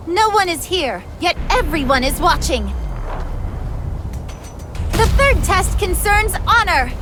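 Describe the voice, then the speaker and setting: An actress playing a solemn trial keeper who proclaims ceremonially about honor in a game cutscene. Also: female voice, very high voice. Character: solemn female trial keeper serving a master, honor-obsessed. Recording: music behind the voice.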